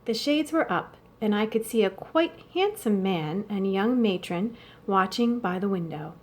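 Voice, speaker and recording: A woman's voice: mid-range voice, lively intonation, measured pace, a little mellow, natural voice. A woman voicing a formal, prim male chauffeur reporting to his employer in a storybook, slightly disapproving.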